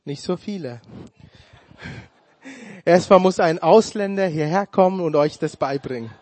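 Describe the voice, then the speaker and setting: A man whose first language is Tamil, preaching a sermon in a slow, deliberate way with touches of humor. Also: fairly high pitch, darkish tone, dry recording.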